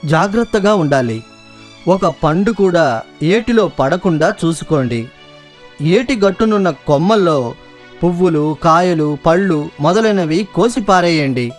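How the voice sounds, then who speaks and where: A man's voice: a little high, expressive, unhurried, soft background music. Wise, cautious cartoon monkey king. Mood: firm, instructing. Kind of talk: children's cartoon story acting.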